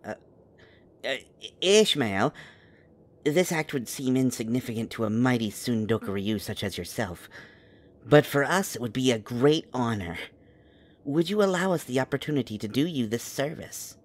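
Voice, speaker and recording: Guy acting as a nerdy careful magic scholar, mid pitched, wordy and polite, urban fantasy audio drama. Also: animated, normal speed, lightly acted. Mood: nervous, deferential. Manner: wordy, polite, stammers when nervous.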